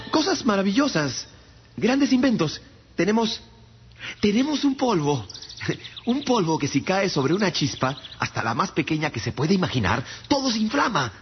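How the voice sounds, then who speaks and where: Casting: deferential, eager male traveler for radio drama, high voice, lively swings, faint music. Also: a little slow, long pauses, dull audio, full character voice. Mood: eager, boastful. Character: eager, deferential little traveler pleading before royalty. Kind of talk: theatrical radio drama acting.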